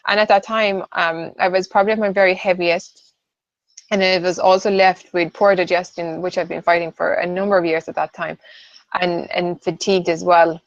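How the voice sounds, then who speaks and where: A woman in her late thirties from southern Sweden talks through a webinar in a loose, hesitant way, filling gaps with ums and "I suppose". Her voice sits at a middle pitch. The audio is dull and lo-fi, and very dry. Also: level tone, moderate pace, dry recording. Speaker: webinar co-host, nutritional therapist. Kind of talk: casual webinar presentation.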